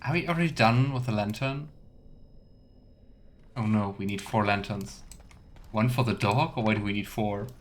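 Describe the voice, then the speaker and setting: A man's voice: lowish voice, moderate pace, pauses often. Casual male game streamer, faint background noise. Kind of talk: casual let's play commentary.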